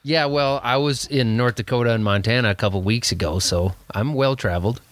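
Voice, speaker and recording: A man's voice: mid-range voice, fairly quick, no echo. Easygoing Minnesotan man telling stories. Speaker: podcast guest, farmer. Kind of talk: casual podcast chat.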